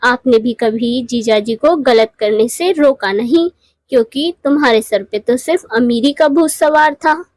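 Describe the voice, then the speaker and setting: Woman voicing humble, high-pitched little-sister bird. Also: unhurried, darkish tone, no echo. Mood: earnest. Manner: polite, earnest. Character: humble, honest poor married female bird, younger sister. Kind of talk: Hindi moral cartoon voice acting.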